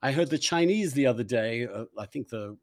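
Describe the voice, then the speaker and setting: A man hosting an informal interview, thinking aloud haltingly with repeated phrases and casual swearing. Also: mid-range voice, animated, no room sound, clean studio sound. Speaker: interview host. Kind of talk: informal, opinionated interview conversation.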